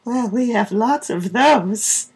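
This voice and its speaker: A man's voice: extremely high pitch, animated, takes it slowly, creaky, slightly rough, voice pushed up, exaggerated voice. A man voicing a friendly, helpful safari ranger in a children's storybook, genial and welcoming.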